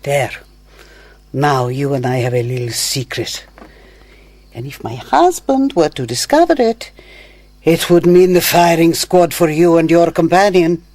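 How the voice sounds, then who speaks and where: An actress playing a scheming, seductive señora in a radio drama, with a coquettish, theatrical manner. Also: female voice, low voice, lively intonation, unhurried, darkish tone, dry recording. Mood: coy, seductive. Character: seductive, scheming, theatrical Latin American judge's wife.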